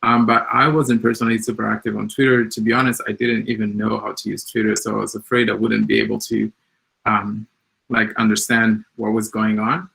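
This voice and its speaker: A man's voice: lowish voice, flat delivery, brisk, vocal fry, bright, forceful tone, low-quality recording. A man from Mozambique whose first language is Portuguese, speaking in English about his path as an app developer in a hesitant way, with many ums and likes. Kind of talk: personal talk on tech journey.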